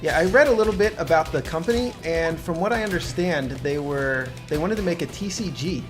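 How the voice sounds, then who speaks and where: A man gives casual commentary while playing a video game with a partner. His voice is a little high, and he explains in a hesitant, halting way. Faint music sits behind him. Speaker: gaming channel host.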